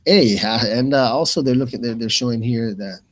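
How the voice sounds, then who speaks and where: A man's voice: mid-range voice, fairly quick. A man hosting live fight commentary in a loose, casual, rambling way, often repeating phrases as he thinks aloud. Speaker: radio play-by-play commentator and host.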